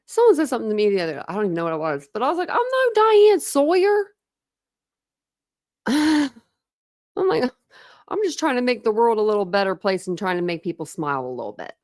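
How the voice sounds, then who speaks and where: A chatty woman hosts a loose true crime livestream and wanders between topics with quick asides. Her accent blends the American Midwest and South, her pitch sits in a middle range, and the sound is dry and close. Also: big pitch swings, darkish tone, no echo. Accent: mixed Midwest and Southern American. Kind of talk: casual livestream chat reading comments.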